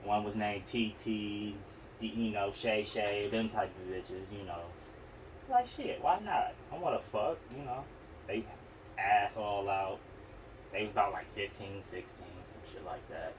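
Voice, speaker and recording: A man's voice: lowish voice, a little slow. A young man with an Oakland accent, telling personal stories on a podcast in a slangy, profane, rambling way. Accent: Oakland, California. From Oakland, California. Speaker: podcast host. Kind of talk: casual, candid personal storytelling. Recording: distant mic, noisy room sound.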